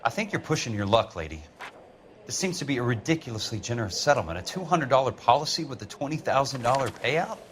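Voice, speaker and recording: An actor playing a tough, skeptical American insurance investigator in a noir video game, brusque, dry and sardonic. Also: male voice, medium pitch, big pitch swings, fast, fairly bright tone, a little hiss or hum. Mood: brusque, dismissive. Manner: blunt, dry, probing.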